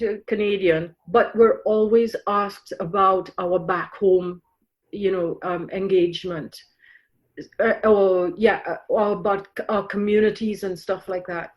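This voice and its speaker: A woman's voice: medium pitch, darkish tone. A middle-aged woman from Trinidad, speaking reflectively in a podcast interview with frequent fillers and restarts. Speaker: guest author.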